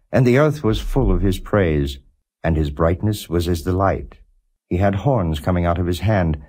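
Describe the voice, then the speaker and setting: A man giving a non-dramatized scripture reading as a narrator, in a measured, solemn and formal way. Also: low-pitched, a little mellow, breathy edge. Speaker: Bible reader, audiobook narrator.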